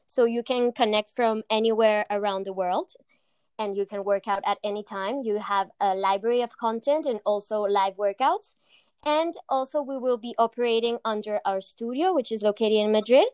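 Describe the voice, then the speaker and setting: Young Latin American woman founder, smooth voice. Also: fairly high pitch, a little mellow, dry recording, studio quality. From Argentina. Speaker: founder of a fitness company. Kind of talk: interview answers.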